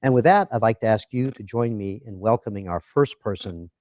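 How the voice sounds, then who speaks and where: A man hosting a live interview at a museum, introducing the guest and asking questions in a measured way. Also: on the low side, expressive, heavy background noise. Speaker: interviewer and host.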